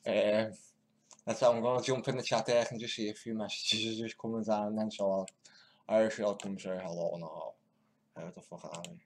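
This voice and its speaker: A man's voice: fairly low pitch, flat delivery, moderate pace, a little hiss or hum. A male stream host giving live, mocking commentary, laughing, swearing and muttering asides as he goes. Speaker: channel host.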